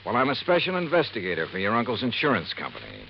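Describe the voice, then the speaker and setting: An actor playing a probing, friendly insurance investigator in 1950s radio drama style, pressing people with clipped questions. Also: male voice, mid-range voice, huge pitch swings, quick pace, vocal fry. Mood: shrewd, insistent. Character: brisk, probing male insurance investigator, hard-boiled but friendly. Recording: dull, noisy vintage radio recording.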